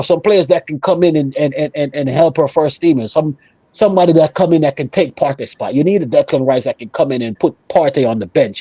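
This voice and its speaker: A man's voice: fairly high pitch, warm tone, no echo, highs cut off. A man talking casually and emphatically as an opinionated football fan on a panel show, repeating himself for emphasis. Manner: emphatic, repetitive, conversational.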